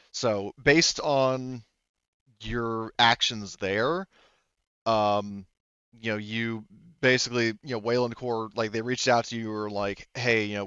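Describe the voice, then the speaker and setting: A man improvising narration as a tabletop game master, rambling and haltingly correcting himself as he goes. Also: fairly low pitch, a bit bright, clear, resonant tone, no echo, muffled recording. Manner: rambling, halting, self-correcting, full of "you know".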